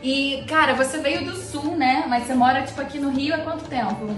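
Brief: young Brazilian woman host, bright higher voice, playful teasing, mic a little far in an echoey room. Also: brisk, faint music behind. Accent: Brazilian Portuguese. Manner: bubbly, laughs often, teasing. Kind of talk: casual interview chat. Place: indoor living room on a sofa. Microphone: handheld mic from a few feet.

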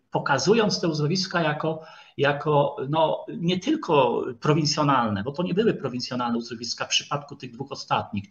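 A man giving an informal history lecture, speaking haltingly and repeating words as he goes. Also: on the high side, fairly bright tone. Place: online video call presentation with screen share. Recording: dull, lo-fi online call audio.

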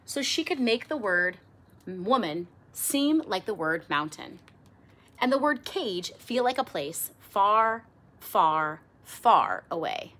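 A middle-aged woman reading a children's picture book aloud expressively, with theatrical emphasis and drawn-out words. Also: mid-range voice, big pitch swings, fairly bright tone. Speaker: storybook reader. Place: small indoor room with bookshelves.

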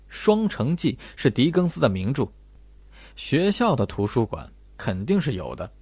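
Audiobook role: clever young male sleuth voiced by a man, calm, measured, explanatory, dry sound. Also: medium pitch, breathy edge, no room sound.

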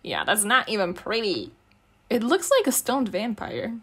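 A woman's voice: mid-range voice, very animated, normal speed, fairly bright tone, husky. A young woman speaking in a casual, intimate girlfriend roleplay, teasing, slangy and affectionate. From Eastern Europe. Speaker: ASMR roleplay performer playing a girlfriend.

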